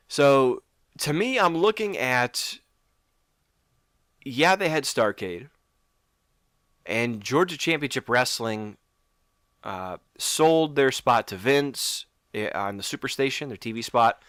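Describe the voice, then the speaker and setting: A man's voice: mid-range voice, a bit bright, smooth, no echo, clean studio sound. A man co-hosting a casual podcast, coming down with a cold and sounding sniffly, rambling and correcting himself as he goes. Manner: rambling, self-correcting, stops to apologize. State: getting sick, sniffly. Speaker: podcast co-host. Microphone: podcast microphone.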